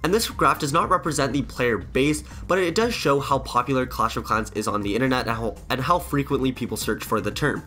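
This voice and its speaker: Man with a cold, bright voice. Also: mid-range voice, animated, fairly quick, hardly any pauses. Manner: earnest, opinionated, with frequent uh restarts. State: sick with a cold, voice affected. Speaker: gaming YouTuber. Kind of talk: scripted-ish commentary to camera.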